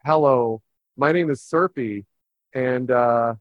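A man's voice: medium pitch, extremely slow, no echo. A synthetic man's voice giving a casual, hesitant self-introduction as a speech sample. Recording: dull lo-fi generated audio.

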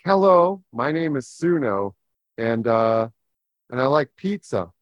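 A computer-generated man's voice in dull, lo-fi audio. It speaks extremely slowly in a casual, hesitant sample style, with no room sound around it. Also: mid-range voice, very animated, no echo. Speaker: text-to-speech sample voice. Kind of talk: hesitant casual self-introduction.

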